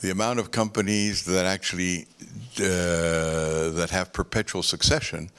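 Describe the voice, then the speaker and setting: A man's voice: low-pitched, steady tone, unhurried, a bit bright, clear, resonant tone. A man speaking on a conference panel, discursive and explaining with homely analogies.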